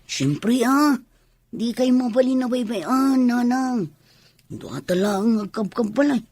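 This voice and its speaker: Caring hardworking youngster, radio drama, husky, higher-pitched. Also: female voice, animated, slow pace, a little mellow, heavily acted. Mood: caring, reassuring. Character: dutiful, caring, hardworking young child of ailing mother. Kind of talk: Ilocano radio drama acting.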